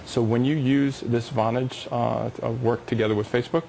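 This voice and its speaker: A man's voice: mid-range voice, fairly even intonation, normal speed, creaky, warm tone, slight background noise. A man being interviewed as a company executive on a live business TV show, explaining technology with emphatic enthusiasm.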